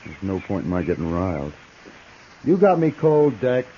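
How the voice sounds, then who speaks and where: An American actor playing a cynical, laconic killer with an unhurried drawl in a 1950s radio western. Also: male voice, sits low, sing-song, dark tone, husky, exaggerated voice. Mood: coolly resigned. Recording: muffled, noisy 1950s radio recording.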